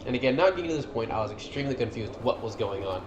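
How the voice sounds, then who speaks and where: A man's voice: medium pitch, lively intonation, very fast, dull audio, background noise. Man commenting casually in an echoey room. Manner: informal, enthusiastic fan talk. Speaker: gaming video creator. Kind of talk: casual commentary over game cutscenes. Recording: roomy echo, music playing behind. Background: game cutscene music.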